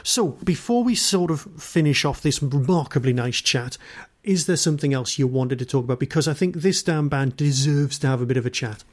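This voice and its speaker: A man's voice: medium pitch, lively intonation, brisk. A man speaking as a podcast host. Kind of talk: casual interview chat and scripted announcements.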